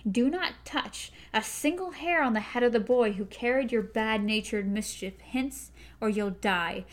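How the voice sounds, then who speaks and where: A stern young gunslinger, cold and threatening, as a woman reading aloud puts him on. He lays down slow, grave warnings in a storybook reading. The voice stays close to the reader's own. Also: a little high, natural voice.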